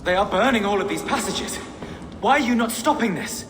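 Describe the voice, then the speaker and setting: Very high-voiced frightened priest. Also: male voice, lively intonation, fairly quick, a bit bright, in a large hall, slight background noise. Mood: shocked pleading. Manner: fearful, pleading, exclamatory. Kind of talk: video game dramatic acting.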